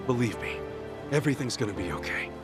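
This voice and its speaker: An actor playing a loyal, brash street-brawler hero in a video game, heartfelt and rough-edged. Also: male voice, medium pitch, animated, fast talker, close to an everyday voice. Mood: earnest reassurance. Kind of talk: dramatic video game cutscene acting. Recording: music under the voice.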